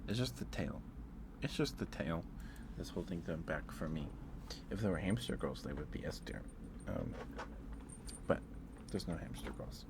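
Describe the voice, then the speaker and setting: Low-pitched man, soft-spoken ASMR streamer. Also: expressive, normal speed, vocal fry, long pauses, heavy background noise. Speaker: live streamer and host. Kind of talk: casual ASMR livestream chat.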